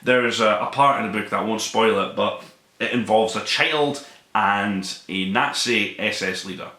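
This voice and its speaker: Bright-voiced man reviewing books, roomy sound. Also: lowish voice, normal speed. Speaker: podcast host reviewing a book. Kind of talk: solo spoken book review, conversational commentary. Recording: roomy, echoing room sound.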